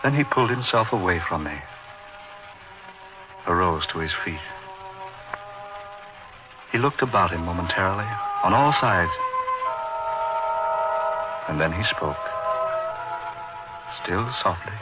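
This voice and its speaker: An actor playing a haunted, intense man recounting his strange past in an old radio horror drama, with florid theatrical delivery and dramatic pauses. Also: low-pitched, lively intonation. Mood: hushed, intense. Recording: dull old radio recording with music behind. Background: dramatic music.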